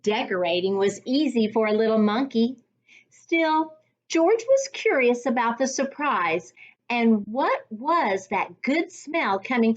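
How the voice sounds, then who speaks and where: A woman reading a children's storybook aloud in an expressive, deliberate storytelling manner, as a reading coach would for young children. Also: a little high, lo-fi sound.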